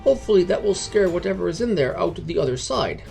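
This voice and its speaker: A man's voice: sits high, big pitch swings, fast, gravelly, darkish tone, soft background music, voice pushed up, exaggerated voice. A man voicing an earnest, self-righteous paladin in a fantasy story, with clipped phrasing that drops small words. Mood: cautious. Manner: clipped foreign-style speech dropping articles.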